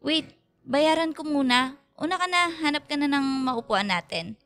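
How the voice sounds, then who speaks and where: A young Filipina-accented woman, the loyal, pushy best friend in a radio drama. Her voice sits high and she talks in a chatty, matchmaking way. Light music plays underneath. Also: normal speed, fairly bright tone. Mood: breezy, friendly.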